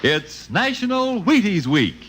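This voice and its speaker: A man announcing on 1950s radio, delivering a sponsor pitch in a folksy, jovial way. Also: big pitch swings, measured pace, fairly bright tone, slight background noise.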